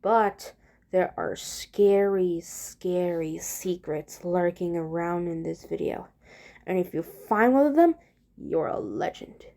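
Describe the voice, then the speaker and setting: A woman's voice: on the low side, slow, warm tone. A woman casually introducing and commenting on a video, speaking informally and directly to the viewers. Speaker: video creator introducing own scenario.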